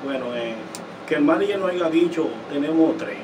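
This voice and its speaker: A man's voice: a little high, moderate pace. A young man, a guest musician, talking boastfully and emphatically in a studio interview. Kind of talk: casual interview talk. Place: indoor studio set. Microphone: studio desk mic. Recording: distant mic with steady noise.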